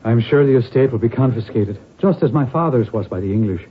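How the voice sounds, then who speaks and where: A man's voice: mid-range voice, expressive, fairly quick, breathy edge. An actor playing a proud, rash Irish nobleman and father in a radio drama, speaking in a grave, dramatic manner. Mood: grave, desperate. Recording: muffled narrow-band radio broadcast recording.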